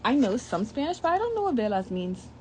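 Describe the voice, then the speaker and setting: Brief: young woman, children's storybook read-aloud, bright and bouncy pitch, faint room hum. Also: brisk. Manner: reads with lively asides and commentary. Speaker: storybook reader.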